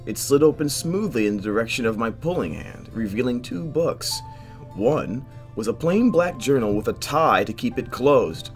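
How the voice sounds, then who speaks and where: A man hosting a game playthrough, reading visual novel narration aloud in an expressive way, stumbling now and then and dropping in joking asides. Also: medium pitch, normal speed, soft background music. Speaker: host of a video game playthrough.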